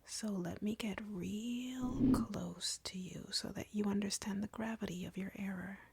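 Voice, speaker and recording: A woman playing an arrogant, cruel, flirty female vampire captor in an audio roleplay, taunting in an intimate, breathy, husky whisper. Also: mid-range voice, steady tone, a little mellow. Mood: bored, accusing. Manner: taunting, slow, deliberate, drawling.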